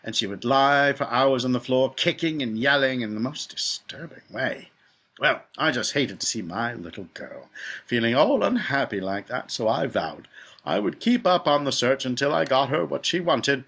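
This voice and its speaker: A man's voice: medium pitch, expressive, normal speed, gravelly, fairly bright tone, husky, heavily acted. A man voicing a boastful, doting rich father from a storybook, talking in an emphatic, folksy way. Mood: exasperated, then relieved. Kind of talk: animated storybook character voice.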